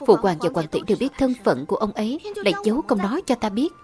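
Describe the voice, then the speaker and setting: A woman voices a young princess in an animated fantasy. She is proud and caring, speaking earnestly with a prickly edge in a middle register, over prominent music. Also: mid-range voice, quick pace, lightly acted.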